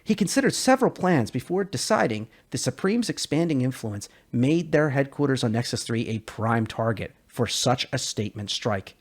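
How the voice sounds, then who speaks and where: A man's voice: medium pitch, sing-song, normal speed, hardly any pauses. A man giving a dramatic scripted narration of science fiction lore with theatrical, emphatic phrasing. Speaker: narrator, lore video creator.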